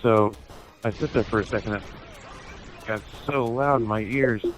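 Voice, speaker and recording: A man's voice: lowish voice, moderate pace, faint music behind. A man telling a casual comic anecdote while playing a game, swearing freely and acting out both sides of conversations. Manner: sweary, animated retelling with acted-out dialogue. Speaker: storyteller in an online gaming video. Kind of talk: casual comic anecdote told over gameplay.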